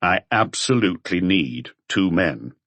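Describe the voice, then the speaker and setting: A man voicing a calm, authoritative police chief inspector in an audiobook, terse and matter-of-fact. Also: low-pitched, unhurried, no room sound, exaggerated voice.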